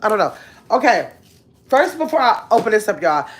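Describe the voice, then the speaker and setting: A woman in her fifties, chatting casually on a livestream from her bedroom, reading viewer comments aloud in an animated, talkative way. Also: medium pitch. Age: 50s. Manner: chatty, animated, drawn-out exclamations. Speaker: livestream host. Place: bedroom at home, indoors. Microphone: phone held close at arm's length.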